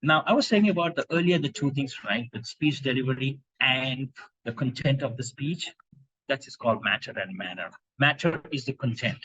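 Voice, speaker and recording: A man from India giving an animated talk on impromptu speaking to a club, with emphatic rhetorical questions over a video call. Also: mid-range voice, breathy edge, no echo, lo-fi sound. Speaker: speaking coach. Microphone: video call microphone.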